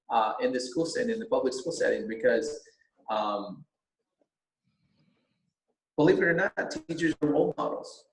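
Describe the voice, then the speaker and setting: A middle-aged man whose first language is Vietnamese, a teacher running for school board, speaking earnestly with many halting restarts. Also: mid-range voice, darkish tone, a little hiss or hum. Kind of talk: earnest interview talk. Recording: roomy echo.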